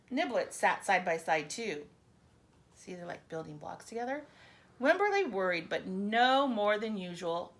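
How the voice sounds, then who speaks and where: A woman reads a children's picture book expressively, breaking off for chatty asides to her young listeners. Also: mid-range voice. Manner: animated storyteller, chatty asides addressed to children.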